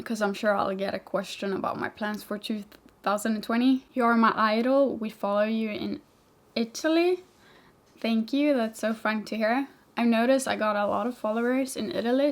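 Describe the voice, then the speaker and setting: Chatty young Swedish-accented woman. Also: on the high side. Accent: mixed Swedish English. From Sweden. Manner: chatty, rambling, many "like" fillers, laughs easily. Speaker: vlogger, motorcycle rider. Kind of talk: casual Q&A chat.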